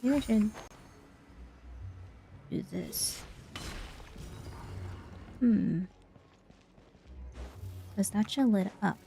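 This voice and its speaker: A woman's voice: medium pitch, extremely slow, gravelly, long pauses, dark tone, faint music behind. A woman livestreamer chatting casually as she plays, often murmuring her thoughts aloud. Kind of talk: casual gaming stream chatter.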